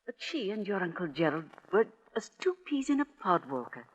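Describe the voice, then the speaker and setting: A sentimental middle-aged aunt in a vintage radio play. Her voice is husky, with a gentle, formal, hesitant manner. The 1940s recording sounds dull. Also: medium pitch, sing-song, fairly quick, in a large hall. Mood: wistful. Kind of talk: 1940s radio drama acting.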